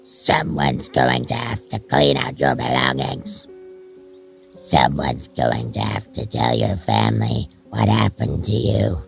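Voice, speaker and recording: A man voicing a menacing, taunting inner presence in an audio drama, accusing and relentless, with a gravelly voice. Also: very animated, slow, faint music behind, heavily acted. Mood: cruel, accusing. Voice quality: very deep gravelly voice. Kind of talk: fan audio drama acting.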